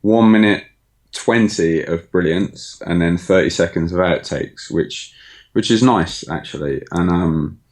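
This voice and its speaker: A man with a Birmingham accent talks in a low, easygoing voice, full of ums and little laughs. He speaks into a desk microphone with a pop filter on a remote call. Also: a little slow. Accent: Birmingham English. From England. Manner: relaxed, rambling, many ums, laughs easily. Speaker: guest, online fitness coach. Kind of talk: casual interview chat. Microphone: USB desk mic with pop filter.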